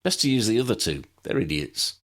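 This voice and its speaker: A man's voice: lowish voice, sing-song, a little fast, a bit bright, pitched above the performer's own voice. A man voicing a cocky, stubborn young male reporter in an audiobook reading, with a bold, insistent delivery.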